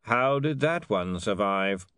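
A man voicing a baffled, testy lord who talks in a slow, pompous, bewildered way in an audiobook. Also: lowish voice, expressive, a bit bright, clean tone, no room sound, clean studio sound. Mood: blustering. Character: baffled, testy, blustering lord, easily swayed.

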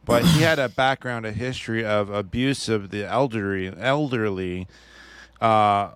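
A man with a lowish, smooth voice chats on a podcast. He speaks hesitantly, restarting sentences and hedging his views. The sound is dry and close. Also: measured pace, a bit bright, no room sound. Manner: hesitant, restarts often, hedges his opinions. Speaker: podcast co-host. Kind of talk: casual podcast chat. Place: podcast studio.

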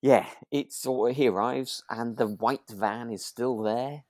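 A British man with a mid-pitched voice chats as a podcast co-host, recorded dry and close. He is relaxed and a little tentative, recapping drama conversationally. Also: measured pace, dry recording. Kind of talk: casual chat recapping a radio drama.

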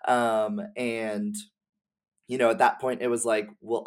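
A woman guest giving casual, informal commentary on game lore, thinking aloud as she goes. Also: extremely low pitch. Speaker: podcast guest.

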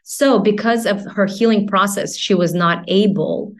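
A woman's voice: mid-range voice, unhurried. A woman in her forties from Latvia, a native Russian speaker, chatting as a podcast guest in a rambling way, with lots of fillers and restarts. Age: 40s. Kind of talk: casual interview chat.

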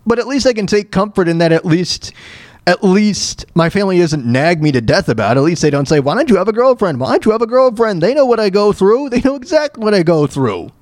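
High-pitched man, halting and plaintive. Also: big pitch swings, a little mellow, dry recording, studio quality. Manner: halting, stammering with repeated false starts; plaintive, self-deprecating. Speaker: host of a solo talk show. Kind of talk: casual personal rant and storytelling.

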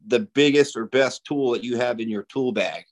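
A man's voice: medium pitch, moderate pace. A man co-hosting a podcast, chipping in with curious, chatty remarks.

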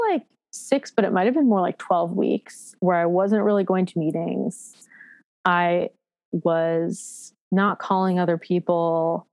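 Woman, 30s, lowish voice, dry close sound; frank self-deprecating personal storyteller, halting with lots of fillers. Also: a little mellow, no room sound. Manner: reflective, halting, with many fillers and restarts.

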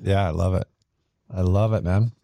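Casting: podcast host, low gravelly dark voice, laid-back interviewer. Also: male voice, fairly even intonation, normal speed, dry recording, clean studio sound. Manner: easygoing, laughs often. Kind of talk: casual interview.

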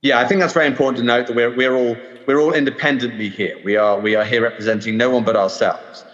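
A man's voice: medium pitch, steady tone, quick pace, a bit bright. A man from the UK with a London English accent, hosting a podcast in a hesitant, filler-heavy way. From United Kingdom. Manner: hesitant, many ums and uhs. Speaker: podcast host. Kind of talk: casual podcast discussion. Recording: dull roomy remote audio.

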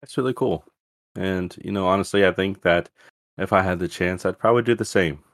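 A man's voice: deep voice, a little fast, dry recording, studio quality. A man from Alabama with a Southern US accent, hosting a podcast in casual conversation. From Alabama, USA. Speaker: podcast host. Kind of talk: casual chat.

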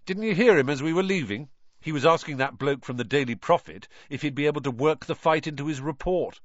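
A cheeky, mischievous teenage boy, as a male narrator puts him on, higher and quick-fire. The recording is dry and somewhat muffled. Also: no room sound, lightly acted.

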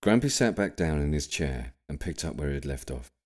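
Man, extremely low pitch, audiobook narrator, scripted story narration. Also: big pitch swings, brisk.

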